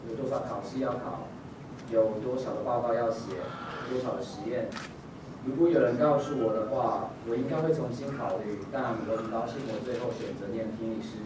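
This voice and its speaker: Man giving a bilingual speech in an echoey hall. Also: mid-range voice. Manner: sincere, with filler ums. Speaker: graduating student speaker. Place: large hall. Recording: echoey hall, far from the mic, audible noise.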